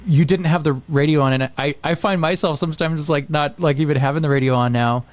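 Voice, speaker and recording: A man's voice: a little high, fast, no echo. A man chatting casually as a podcast host, informal and emphatic, often repeating himself. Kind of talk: casual chat.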